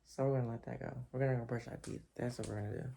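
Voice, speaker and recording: A sleepy woman with a deep voice, chatting to her camera at home in a rambling, reflective way. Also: steady tone, very fast, creaky, a little hiss or hum. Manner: reflective, rambling, soft-spoken. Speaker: vlogger. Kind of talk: casual chat to camera. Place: top-floor apartment at home. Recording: echoey room.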